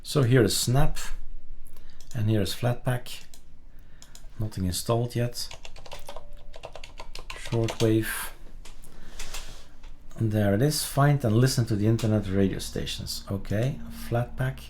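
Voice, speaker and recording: Male tutorial presenter, lower register, casual and halting, thinks aloud while demonstrating. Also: a little mellow, slight background noise. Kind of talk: casual screen-recorded software tutorial.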